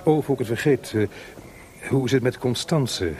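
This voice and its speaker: A man acting in a radio play as a tactful gentleman acquaintance, polite and conversational, gently probing. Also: mid-range voice, moderate pace, lightly acted. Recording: noisy radio play recording.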